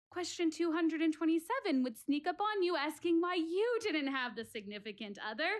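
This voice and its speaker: A high-pitched female voice performed by an actress in a one-woman audio play. She is candid, wry and exasperated, speaking straight to her audience like a presenter, with emphatic delivery and theatrical pauses. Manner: emphatic, addresses audience directly, dramatic pauses.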